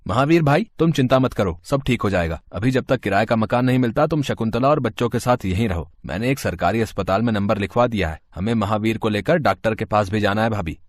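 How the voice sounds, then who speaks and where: A man plays a helpful, kindly friend of the family in a village-to-city tale. His delivery is animated and reassuring, with practical calm. The recording is dry and close. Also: lowish voice, brisk, few pauses, no echo. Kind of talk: Hindi moral story voice acting.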